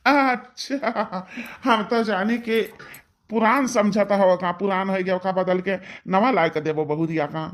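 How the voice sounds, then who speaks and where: A woman's voice: medium pitch, a little slow, close to an everyday voice. A woman voicing an older village father-in-law in a cartoon, questioning and scolding with an Awadhi accent. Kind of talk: cartoon dialogue.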